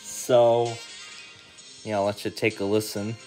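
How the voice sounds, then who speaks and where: A man's voice: on the low side, little pitch movement, slow pace, warm tone, soft background music. A man giving a casual hands-on tutorial as its host, thinking aloud as he works through the steps indoors. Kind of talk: casual hands-on app demonstration.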